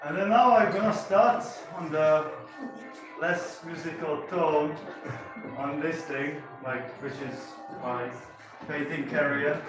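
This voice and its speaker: A man's voice: on the high side, takes it slowly. Rambling man talking to an audience. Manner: rambling, friendly, loose phrasing with many fillers. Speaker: painter presenting his work. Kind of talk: casual talk to a live audience. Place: gallery event with a live audience. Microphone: handheld mic, heard from a distance. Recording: very echoey room, far from the mic, music behind.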